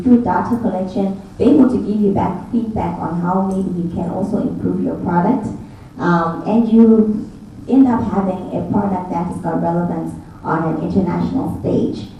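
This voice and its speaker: Woman presenting at a conference, mellow and measured, heard from far off in a big hall. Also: lowish voice, slight background noise. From Zimbabwe. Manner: measured, with frequent fillers. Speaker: conference speaker. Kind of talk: business presentation. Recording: echoey hall, mic from far off.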